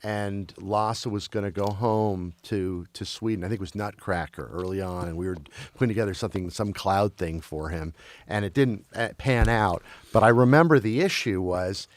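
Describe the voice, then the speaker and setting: A man's voice: fairly low pitch, normal speed. A man who grew up in Belgium, talking as a guest in a casual conversation, often stopping to restart and repeat his words. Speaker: interview guest.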